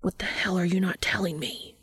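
A woman voicing a gruff, suspicious man who mutters and growls firmly in an audiobook story. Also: fairly low pitch, slow pace, darkish tone, dry recording, heavily acted. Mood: demanding.

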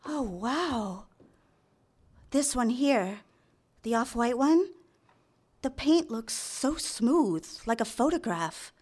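Young secretary, high voice, flustered, halting, deferential, eager to please, live radio drama. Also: female voice, animated, darkish tone, husky, full character voice. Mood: earnest, admiring. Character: nervous, eager 24-year-old secretary, smitten with her boss. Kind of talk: live radio drama acting with first-person narration. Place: theater stage, live show.

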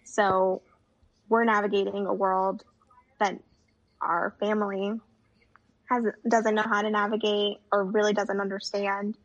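A young woman with a gravelly, slightly husky voice, chatting casually as an interview guest. She talks easily, peppering her speech with fillers. The audio is dull and lo-fi. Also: medium pitch, level tone, measured pace, pauses often. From United States. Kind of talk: casual conversation.

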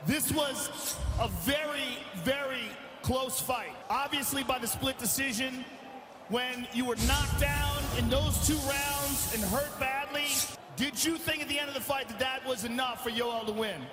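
A man interviewing a fighter cage-side, shouting to be heard. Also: extremely high pitch, steady tone, a little slow. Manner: shouting over the crowd. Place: fight arena. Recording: echoey arena broadcast with loud crowd and music. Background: loud crowd and music.

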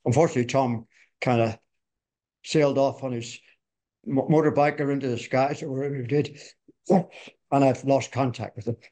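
Slightly hoarse older man, folksy. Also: medium pitch, steady tone, normal speed, long pauses, dark tone. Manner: rambling, folksy, frequent restarts. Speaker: podcast co-host. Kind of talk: conversational industry reminiscence.

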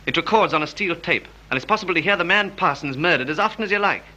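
An actor playing a shrewd, insistent police detective in a 1930s British film, speaking in a probing, clipped way. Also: male voice, a little high, expressive, quick pace, pushed and bright. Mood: earnest, insistent. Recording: dull, lo-fi 1930s film soundtrack.

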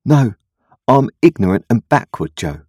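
An anxious, humble country boy acted by a man reading a storybook, confessing earnestly and miserably. The voice is lifted above the reader's own, a little husky, and very close and dry. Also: medium pitch, big pitch swings, unhurried, dark tone, dry recording, pitched above the performer's own voice. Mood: ashamed, humble.

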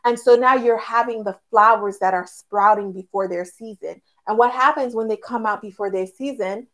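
A woman's voice: on the high side, moderate pace, a bit bright, low-quality recording. A woman explaining things in a casual, motivational way as a podcast co-host, checking in with questions. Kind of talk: casual motivational faith discussion.

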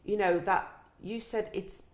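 A woman's voice: mid-range voice, steady tone, measured pace. A middle-aged woman hosting a casual interview in a chatty, rambling, jokey way. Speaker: interviewer, podcast host.

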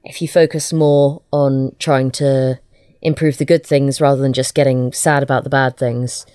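A woman's voice: very deep voice, steady tone, warm tone, smooth, dry recording, studio quality. A woman being interviewed as an audio drama writer, chatting casually and often stopping to rephrase herself. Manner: halting, self-correcting.

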